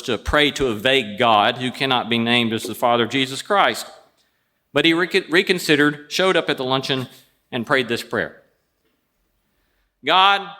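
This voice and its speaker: A man hosting a show, telling prepared stories and introductions in a wry, deliberate way. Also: mid-range voice, sharp tone. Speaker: show host introducing a guest. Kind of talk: prepared storytelling introduction before an audience.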